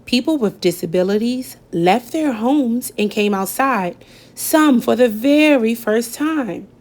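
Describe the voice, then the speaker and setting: A woman reading a children's picture book aloud, gentle and expressive, lifting her voice on key words. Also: fairly high pitch, takes it slowly. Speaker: storybook reader. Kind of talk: children's read-aloud narration.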